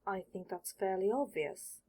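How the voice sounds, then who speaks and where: A woman's voice: mid-range voice, lively intonation, measured pace, warm tone. A woman voicing an aloof, precocious eleven-year-old wizard boy in an audiobook, speaking in formal, matter-of-fact, condescending sentences. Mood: dismissive. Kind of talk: audiobook character voice.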